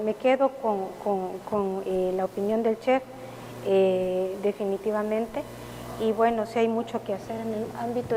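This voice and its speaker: A woman archaeologist speaking as a panelist, thoughtful and pausing often. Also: mid-range voice, darkish tone. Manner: thoughtful, frequent hesitations. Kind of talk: conference discussion. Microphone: video call. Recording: reverberant room, faint noise.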